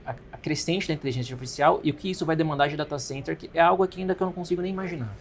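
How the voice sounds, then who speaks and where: A man's voice: a little high, rapid-fire, a little hiss or hum. A Brazilian man hosting a casual interview, asking long, winding questions and affirming often. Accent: Brazilian Portuguese. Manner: rambling questions with frequent affirmations. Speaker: interviewer, host.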